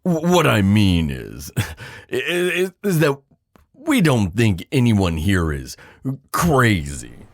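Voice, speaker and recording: Man playing a deep-voiced, earnest ghost investigator. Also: huge pitch swings, no room sound, studio quality, heavily acted. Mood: awkward, tactful. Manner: clinical, halting, stammering. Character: earnest, tactful paranormal investigator doctor. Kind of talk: audio drama acting.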